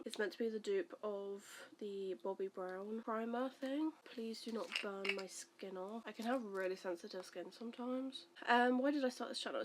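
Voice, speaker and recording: A woman's voice: mid-range voice, slight background noise. A woman in her twenties, stuffy and nasal from the flu, rambling casually as she does her makeup and answers questions for her channel. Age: 20s. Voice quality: nasal, congested. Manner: rambling, self-deprecating, chaotic. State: recovering from flu. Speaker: vlogger. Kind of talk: chatty get-ready-with-me vlog. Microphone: camera facing her while doing makeup at a mirror.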